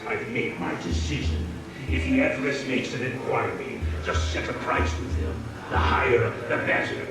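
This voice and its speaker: An actor playing a menacing, distrustful warlord in a video game, gruff and commanding. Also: male voice, mid-range voice, moderate pace, natural voice. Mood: stern, decisive. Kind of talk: dramatic video game villain acting. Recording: echoey, distant, under loud music.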